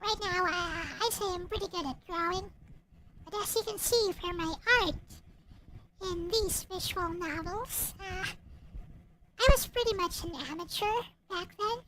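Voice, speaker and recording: A woman with a small, childlike voice chatting casually and haltingly on a livestream about her own writing. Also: very high-pitched, noisy background. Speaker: streamer and visual novel writer. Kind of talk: casual livestream chat showing own work. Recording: loud music behind the voice. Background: loud music and game sound effects.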